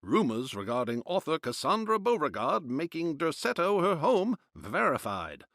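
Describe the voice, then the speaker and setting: Vintage newspaper narrator, game voice-over, fairly high pitch, very lively intonation, formal, dry close recording. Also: male voice, normal speed, no echo, exaggerated voice. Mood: formal, measured. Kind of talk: scripted news narration.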